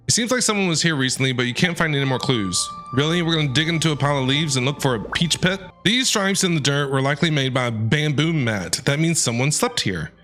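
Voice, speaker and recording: Male streamer, fairly high voice, very expressive intonation, reactive casual playthrough commentary. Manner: reactive, opinionated, repeats himself. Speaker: game streamer. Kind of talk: casual playthrough commentary, reading game text aloud. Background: faint game music.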